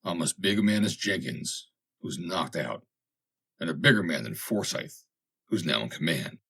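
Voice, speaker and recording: A burly, fair-minded seaman in an audiobook acted by a man, gruff and sure of himself. His voice is deep and husky, firm in delivery. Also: animated, moderate pace, pushed and bright, full character voice. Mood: commanding. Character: big gruff sailor machinist, fair-minded, firm, cool. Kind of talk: dramatic audiobook character voice.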